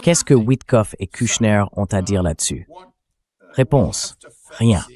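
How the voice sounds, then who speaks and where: Low-pitched synthetic man giving analysis. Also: expressive, slow pace, warm tone. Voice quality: synthetic AI dubbing voice. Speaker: political commentator. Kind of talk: scripted-sounding translated interview commentary.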